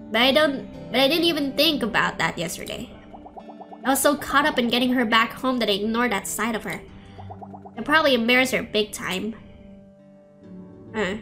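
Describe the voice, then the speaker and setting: A young woman with a slightly high voice reads game dialogue aloud on a livestream. She is casual about it, stumbling, rereading and dropping in asides. Faint music plays behind her. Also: fairly bright tone. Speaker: game streamer.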